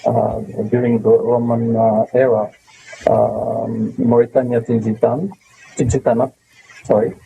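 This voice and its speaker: A Moroccan man who grew up speaking Arabic talks about his country in an interview. His voice is gravelly, husky and very dark, and his speech is full of pauses and repeated words. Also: mid-range voice, flat delivery, lo-fi sound. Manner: hesitant, many fillers and repeated words. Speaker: tourism board representative. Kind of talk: interview answers.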